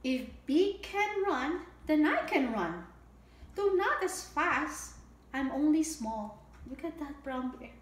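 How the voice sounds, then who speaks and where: A middle-aged woman with a very high voice reads a children's picture book aloud at an unhurried pace. She is expressive and keeps her explanations simple for little listeners. The room is echoey. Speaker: early childhood story time host. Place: indoor home room with table. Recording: echoey room with audible background noise.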